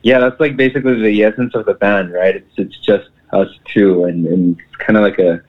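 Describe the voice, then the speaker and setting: A man from Mexico, a band's singer and guitarist, answering interview questions in a relaxed way with many restarts. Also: on the low side, fairly quick. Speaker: band vocalist and guitarist. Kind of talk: casual interview. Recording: tinny phone line.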